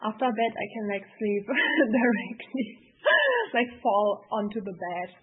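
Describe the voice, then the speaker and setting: Giggly breathy woman on a call line. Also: mid-range voice, a little slow, phone-quality sound. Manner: bubbly, giggly, many "like" fillers. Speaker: podcast guest. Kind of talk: casual video-call chat. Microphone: video call.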